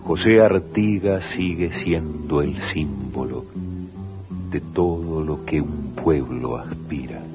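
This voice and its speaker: A man's voice: rumbling low, takes it slowly. A man narrating a 1980s radio drama in a grave, declamatory, poetic style over guitar music. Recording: dull old radio recording.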